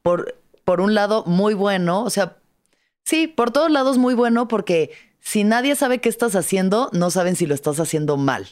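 A woman's voice: mid-range voice, normal speed. A woman from Mexico, a stand-up comedian and actress, telling her story in a casual conversation. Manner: lively storyteller, acts out her own past words.

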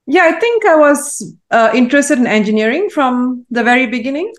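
A woman from Malaysia, a guest in an interview, answering in a thoughtful, measured way with frequent pauses and fillers. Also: high-pitched, animated. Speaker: guest, AI consultant.

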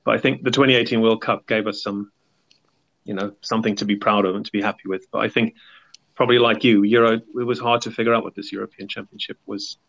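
A man's voice: on the low side, fairly even intonation, fast, gravelly, a bit bright. A man, a podcast guest, chatting casually and rambling with many fillers and hedges. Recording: dull lo-fi sound.

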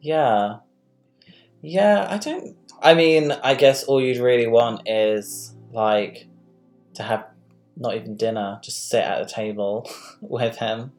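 A woman's voice: rumbling low, takes it slowly, darkish tone, soft background music. A woman hosting a casual live-stream chat, talking loosely with lots of fillers. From London. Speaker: host.

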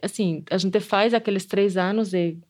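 A woman's voice: mid-range voice, fairly even intonation, moderate pace, soft background music. Middle-aged woman professor, Spanish accent, reflective, restarts her phrases often. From Spain. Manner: thoughtful, many restarts and tag questions. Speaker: anthropology professor. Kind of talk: reflective interview answers.

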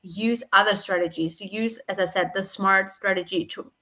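A woman's voice: mid-range voice, steady tone, normal speed, bright, forceful tone. A young woman gives conversational advice as a podcast guest, feeling her way through her phrasing. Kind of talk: conversational interview answers with practical advice.

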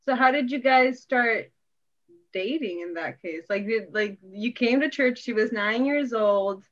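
A bubbly woman hosting a casual podcast, teasing her guests and laughing easily. Her voice sits a bit high for a woman. The recording sounds somewhat dull and lo-fi. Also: measured pace, a bit bright. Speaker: podcast host.

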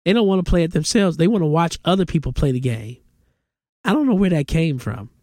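A man's voice: a little high, expressive, brisk, warm tone, no room sound, clean studio sound. A congested man in his forties hosting a solo podcast, giving emphatic, opinionated commentary. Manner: opinionated, emphatic, rising to exclamations. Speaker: podcast host.

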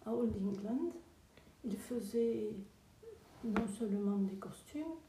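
A woman joining an interview and chatting in a lively way about old times. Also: fairly high pitch, takes it slowly, reverberant, distant mic, noisy background. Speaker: guest's wife. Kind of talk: casual conversation.

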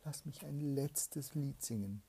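A man's voice: medium pitch, slow, gravelly, dark tone, husky, voice pushed up, heavily acted. A man reading a fairy tale aloud and voicing a despairing young soldier who begs and laments, partly in a whisper. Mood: pleading.

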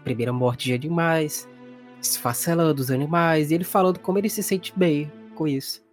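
A man's voice: fairly high pitch, a little fast. A man with a Brazilian accent narrating a tabletop horror game as game master in a casual, conversational way. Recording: music playing behind the voice.

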